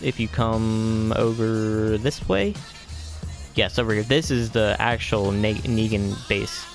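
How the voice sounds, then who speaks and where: A man's voice: on the low side, takes it slowly, gravelly, soft background music. A man giving a casual, rambling game tour as video host, hesitant and often trailing off into vague asides.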